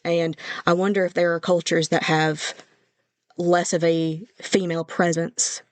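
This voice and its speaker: Young woman host musing out loud, friendly and chatty, dry close sound. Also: on the low side, flat delivery, unhurried, dry recording. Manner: friendly, reflective, thinks aloud. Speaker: podcast host. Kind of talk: casual conversation.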